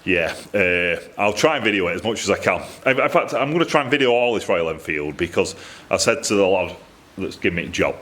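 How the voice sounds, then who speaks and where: A man's voice: deep voice, lively intonation, a little fast, a bit bright. A man with a Northern English accent rambles casually to camera in a colloquial, self-deprecating way, recorded in a workshop. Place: metalworking workshop, indoors.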